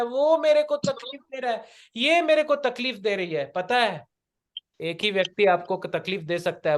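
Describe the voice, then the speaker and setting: High-pitched, slow-paced Indian male teacher. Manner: didactic, rhetorical questions, switches between Hindi and English. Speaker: spiritual life coach and teacher. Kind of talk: interactive spiritual lecture.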